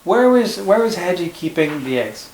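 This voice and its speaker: A man's voice: high voice, big pitch swings, normal speed, darkish tone, echoey room, poor audio. A man teaching at home, explaining a storybook lesson to a child patiently and step by step. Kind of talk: casual classroom discussion.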